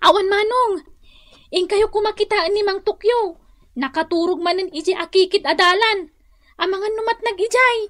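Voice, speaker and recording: A woman's voice: very high voice, normal speed, fairly bright tone. An actress playing an earnest young village girl in an Ilocano radio drama. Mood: worried.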